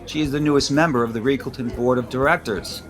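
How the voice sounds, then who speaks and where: A man's voice: medium pitch, steady tone, brisk, lightly acted. A middle-aged man acting a breezy, probing businessman and father in an audio soap opera. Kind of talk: naturalistic soap opera dialogue. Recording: studio drama with music underneath. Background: music.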